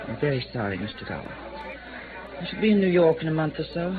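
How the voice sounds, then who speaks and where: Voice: medium pitch, big pitch swings, quick pace, natural voice. A performer playing a weary, haughty society woman with formal, dismissive phrasing in a 1950s radio drama. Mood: weary, evasive. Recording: tinny old radio, crowd chatter behind.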